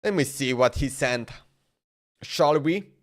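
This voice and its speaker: A man's voice: medium pitch, expressive, slow pace. A man streaming a game, chatting loosely and laughing, often saying "bro" and "man.". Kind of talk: casual livestream chat while playing.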